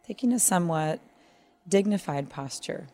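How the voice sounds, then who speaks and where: A woman guides a body scan meditation in a low-pitched, mellow voice. She is calm and unhurried, letting long pauses fall between short phrases. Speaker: doctor leading a guided meditation.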